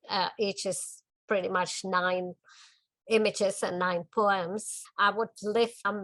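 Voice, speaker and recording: An older woman from the Czech Republic with a Czech accent, talking about her art in a documentary interview in her home studio, gentle and thoughtful with small pauses. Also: mid-range voice, no room sound, poor audio. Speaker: artist.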